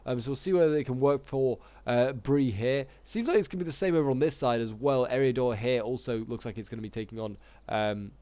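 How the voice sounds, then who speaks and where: A man with a sore throat, slightly hoarse, giving casual, rambling commentary on a strategy game battle with lots of fillers. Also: mid-range voice, a little fast, darkish tone, dry recording. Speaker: gaming YouTuber casting a multiplayer battle.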